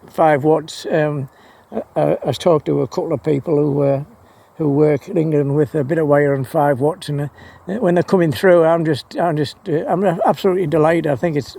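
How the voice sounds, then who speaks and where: A man's voice: fairly high pitch, normal speed, creaky, mellow. A man rambling casually and enthusiastically about his hobby, often repeating words as he hesitates. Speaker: amateur radio hobbyist vlogger.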